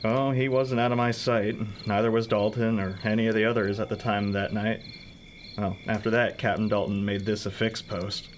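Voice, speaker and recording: A man's voice: fairly low pitch, level tone, normal speed, a little hiss or hum, natural voice. Man acting brusque young trooper, radio play. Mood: matter-of-fact. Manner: brusque, reassuring. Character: protective, dismissive male state trooper and sweetheart. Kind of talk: scripted radio drama acting.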